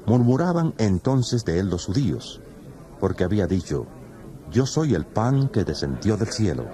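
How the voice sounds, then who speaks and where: Deep, expressive male narrator. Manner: measured, storytelling. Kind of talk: dramatized scripture narration. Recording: music playing behind the voice. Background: background music.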